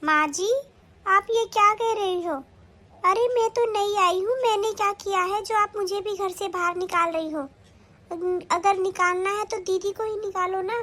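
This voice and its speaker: A woman's voice: high-pitched, unhurried. A woman acting a sly, newly married young daughter-in-law who coaxes and pleads in a family skit. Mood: pleading. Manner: coaxing, plotting, pleading.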